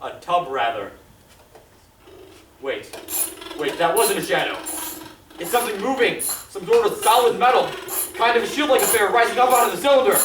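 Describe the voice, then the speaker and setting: A man's voice: high-pitched, animated, moderate pace, long pauses. A man playing a confident army signal corps captain reporting from the field in a 1930s radio drama style. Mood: mounting alarm. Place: theatre stage, live performance. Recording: noisy echoey stage recording from far off.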